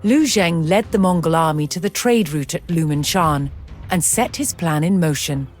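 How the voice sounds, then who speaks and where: A woman giving measured, formal scripted narration in the style of a historical documentary for a game. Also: deep voice. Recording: music behind the voice. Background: background music.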